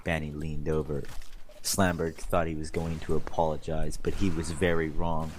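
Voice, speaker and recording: A man's voice: extremely low pitch, little pitch movement, normal speed. A man giving a dramatic, mock-serious reading of fan fiction, like a theatrical storyteller. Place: online voice chat during a live stream. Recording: noisy group voice-chat stream.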